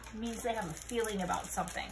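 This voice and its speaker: A woman reading a children's picture book aloud expressively, pausing to put questions to young listeners. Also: mid-range voice, a little hiss or hum. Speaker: read-aloud storyteller.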